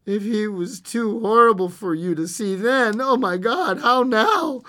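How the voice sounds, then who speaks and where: A storyteller voicing a weary, fearful old husband in a dramatic story reading, tender but aghast, with a halting stammer. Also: medium pitch, big pitch swings. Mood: trembling horror. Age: older.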